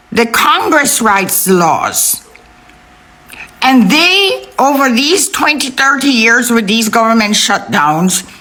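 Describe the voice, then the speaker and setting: A woman giving impassioned political commentary in an emphatic, indignant manner, repeating words deliberately for weight. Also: on the high side, a bit bright, poor audio.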